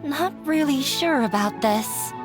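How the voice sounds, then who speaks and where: A woman's voice: high-pitched, animated, slow pace. A woman voicing a shy, anxious young woman in a video game, hesitant, nervous and full of self-doubt. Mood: unsure. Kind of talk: animated video game voice acting. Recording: game music behind the voice.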